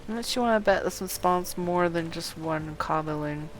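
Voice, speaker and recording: A woman's voice: fairly low pitch, normal speed, music in the background, poor audio. A woman giving casual live game commentary, muttering and reacting aloud to herself as she plays. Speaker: game streamer, host.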